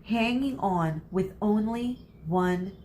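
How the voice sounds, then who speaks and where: A woman narrating a children's story expressively, with dramatic emphasis and pauses for suspense. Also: mid-range voice, darkish tone. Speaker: read-aloud storyteller, teacher. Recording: faint background noise.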